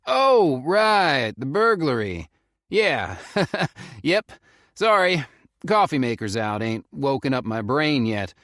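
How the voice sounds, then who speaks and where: A man's voice: medium pitch, very animated, takes it slowly, fairly bright tone, no echo, clean studio sound, voice pushed up. A man voicing a middle-aged male park ranger with a thick Southern drawl, folksy and casual. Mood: flustered.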